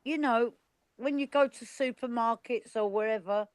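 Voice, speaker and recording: A woman's voice: on the high side, moderate pace, no echo. A Cockney woman from England chatting casually and bluntly on an online panel, with a complaining way of talking.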